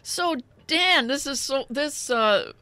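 A woman hosting a radio show in casual chat, excitable and responsive to her guest. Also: sits high, slow pace, no room sound. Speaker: radio host.

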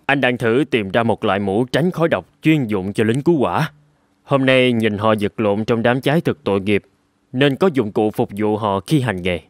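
A man playing an earnest, determined inventor in an animated story, speaking reassuringly. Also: fairly low pitch, moderate pace, dry recording, studio quality, close to an everyday voice. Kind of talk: dubbed cartoon dialogue.

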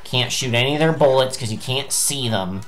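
A young man giving angry, swearing commentary while playing a video game, his voice going hoarse. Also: mid-range voice, normal speed, slight background noise. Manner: ranting, swearing, frustrated outbursts. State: losing his voice. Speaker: gameplay streamer.

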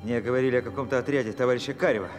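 An actor playing a tired, indignant educated traveller in a radio play, articulate and aggrieved. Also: male voice, medium pitch, fairly even intonation, rapid-fire, in a large hall, soft background music, natural voice. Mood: weary indignation. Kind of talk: Soviet radio play acting.

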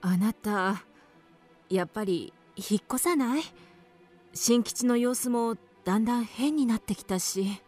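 A woman's voice: a little high, measured pace, a little mellow, faint music behind, natural voice. An actress playing an anxious, genteel wife and mother in a radio drama, speaking in a ladylike, fretful way. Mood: anxious, pleading.

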